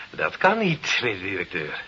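An actor in a Dutch radio play playing a wily village crime boss, mock-humble and slyly defiant with authority. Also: male voice, sits low, pushed and bright, heavily acted. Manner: deferential on the surface, slyly defiant. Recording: muffled, hissy old radio recording.

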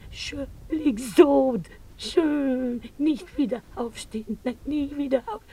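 An actress playing a grief-stricken foreign animal keeper, crying and wailing in broken, repeated fragments in a literary radio drama. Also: female voice, high voice, slow, gravelly, dark tone, full character voice. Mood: tender, broken lament. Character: grief-stricken foreign animal keeper woman mourning her slain tiger. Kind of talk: mid-century German literary radio drama acting.

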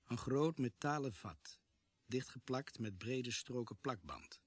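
An actor playing an earnest policeman who tells his story gravely and with measure in a radio drama. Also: mid-range voice, lively intonation, slow pace, dry recording. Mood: grave, tense. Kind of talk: radio drama acting and narration.

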